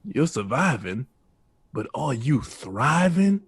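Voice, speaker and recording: An actor playing a wise, blunt old man with a deliberate, oratorical manner in an audio drama. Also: mid-range voice, big pitch swings, breathy edge, no room sound, full character voice. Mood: earnest counsel. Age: older.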